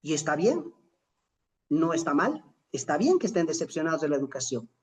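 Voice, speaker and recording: A middle-aged Bolivian lecturer speaks at a medium pitch in a reflective, motivational style. The delivery is emphatic and rhetorical, with questions put to fellow teachers and key phrases drawn out for weight. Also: very animated, a little fast, a little mellow. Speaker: lecturer in an online teacher-training course.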